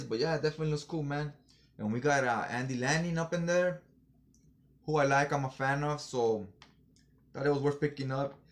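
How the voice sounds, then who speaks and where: A man chatting casually in slangy, informal fan talk as he reviews things for a vlog. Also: mid-range voice. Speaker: comic book haul reviewer.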